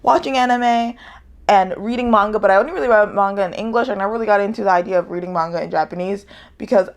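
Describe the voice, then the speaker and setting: Young woman with a gravelly, rambling chat. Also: medium pitch, fast. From United States. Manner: rambling, self-correcting, laughs often. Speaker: vlogger. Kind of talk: casual vlog chat.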